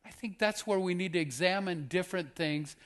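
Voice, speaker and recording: A man's voice: high voice, brisk. A man in his fifties, a guest preacher giving an earnest sermon on a church stage, asking the audience questions. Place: church stage with purple lighting.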